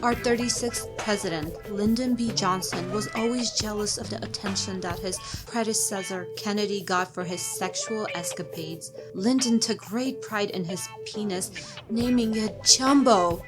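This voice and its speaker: A woman's voice: medium pitch, moderate pace, over music, close to an everyday voice. A woman playing a porn star who reads bawdy trivia for a comedy sketch. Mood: matter-of-fact. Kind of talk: scripted trivia reading.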